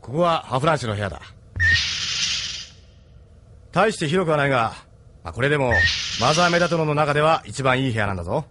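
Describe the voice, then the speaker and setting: Man acting a fast-talking, hearty ship captain. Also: medium pitch, lively intonation, fairly bright tone, a little hiss or hum, natural voice. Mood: reassuring. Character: hearty, loyal male spaceship captain, plain-spoken and supportive. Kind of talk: anime-style audio drama acting.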